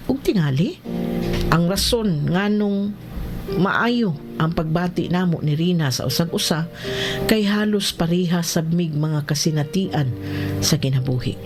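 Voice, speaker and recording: A woman playing a reflective narrator who tells a bittersweet personal love story in a radio drama. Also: on the low side.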